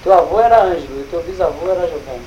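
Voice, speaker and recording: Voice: medium pitch, animated, rapid-fire, mellow, lo-fi sound, slight background noise. A speaker who keeps asking about family history and reads details from a document. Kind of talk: casual family conversation.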